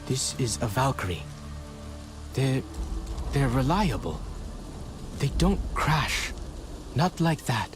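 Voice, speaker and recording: A man's voice: medium pitch, sing-song, takes it slowly, pauses often, music in the background. An actor voicing an anxious young male pilot and engineer in a video game. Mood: defensive, worried.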